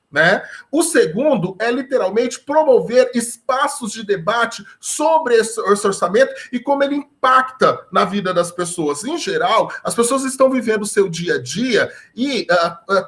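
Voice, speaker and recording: High-voiced earnest professor, man. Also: a bit bright. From Brazil. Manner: earnest, explanatory, rhetorical questions. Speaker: history professor, guest. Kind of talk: explanatory talk.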